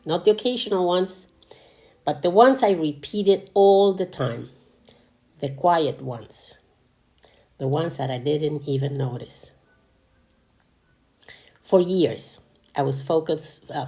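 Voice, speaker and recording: A woman's voice: low voice, warm tone. An older woman from Argentina with a Spanish accent, hosting a podcast in an earnest, personal, motivational monologue. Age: 60s or older. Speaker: podcast host.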